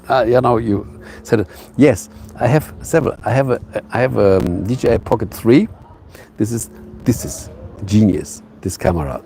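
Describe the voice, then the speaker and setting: Man, English, slow, rough-edged voice; halting casual streamer talk from a phone outdoors. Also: fairly low pitch, mellow, a little hiss or hum. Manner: hesitant, halting, many fillers and restarts. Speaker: live streamer. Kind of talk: casual live stream chat. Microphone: phone on a tripod.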